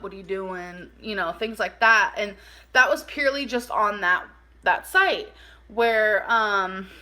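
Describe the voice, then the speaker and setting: A woman chatting casually through a personal story for a vlog, animated and informal, saying "like" a lot and acting out bits of dialogue. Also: medium pitch. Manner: animated, informal, acts out dialogue, heavy use of "like". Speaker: vlogger telling a personal story.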